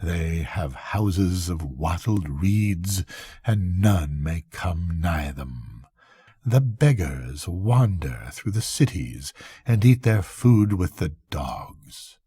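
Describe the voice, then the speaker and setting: A man acts out an old bishop from a storybook, weary of the world and solemn. His speech is slow and archaic, full of grave questions. The voice is low and husky. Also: lively intonation, warm tone, no room sound, studio quality, exaggerated voice. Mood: weary, sorrowful.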